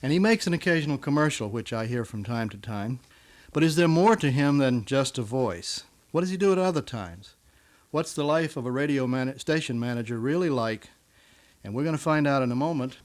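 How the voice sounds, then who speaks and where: A man's voice: medium pitch, animated, brisk. An American man acting as guest host and interviewer in an informal radio interview.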